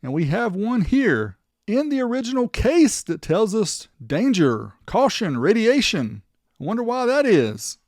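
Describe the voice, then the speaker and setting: Man hosting a casual gadget showcase, higher-pitched voice. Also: very animated, unhurried, dry recording, studio quality. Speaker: host of a vintage car audio video channel. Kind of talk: product showcase narration, casual.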